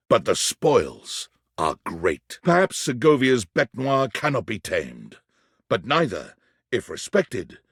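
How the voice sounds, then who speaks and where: A man's voice: medium pitch, husky, dry recording, clean studio sound, full character voice. A man playing an erudite, theatrical narrator in a whimsical game, delivering florid scripted lines with precise wording.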